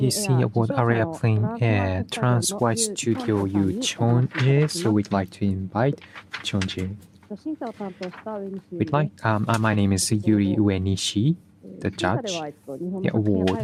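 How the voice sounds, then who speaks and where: A man giving live spoken interpretation at a forum, in halting, piecemeal phrases. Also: lowish voice. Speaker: event interpreter.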